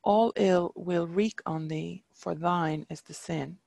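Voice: mid-range voice, slow pace, gravelly, a little mellow, dry recording. A reader voicing a proud, scornful male warrior champion, reciting archaic taunting verse.

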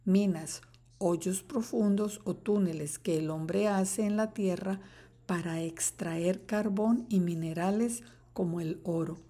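A woman teacher reading a children's picture book aloud, slowly and carefully. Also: medium pitch, mellow, slightly rough. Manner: slow, careful read-aloud. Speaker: third-grade teacher.

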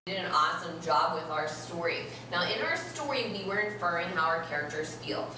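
A young woman teaches a read-aloud to small children in an expressive, instructive way, stretching out important words. Her pitch moves around a lot. The sound is very reverberant and noisy, picked up by a camera some distance away. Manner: expressive, instructive, with slowed and stressed key words. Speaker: primary school teacher. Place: elementary classroom, indoors. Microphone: far camera. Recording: roomy, noisy classroom, distant camera microphone.

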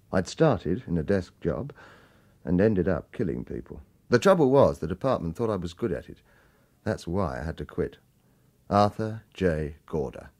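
A retired hitman in a radio crime thriller, low and husky. He is gruff and sardonic, with a dry wit and a delivery that swings widely in pitch. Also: darkish tone, full character voice. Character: world-weary, sardonic retired male British government assassin. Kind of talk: radio drama acting, first-person narration and dialogue.

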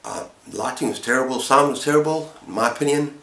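A middle-aged man chatting casually to camera as a book vlogger, speaking haltingly with short pauses. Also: medium pitch, fairly bright tone. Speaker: book vlogger, channel host. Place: book-lined room at home, indoors. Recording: roomy, echoing indoor sound.

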